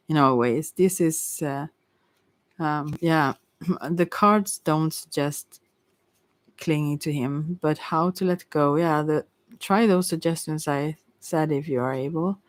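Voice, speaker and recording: A tarot reader giving an informal ASMR reading in a hesitant, rambling way with many pauses. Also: mid-range voice, no echo. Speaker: Ayurveda therapist.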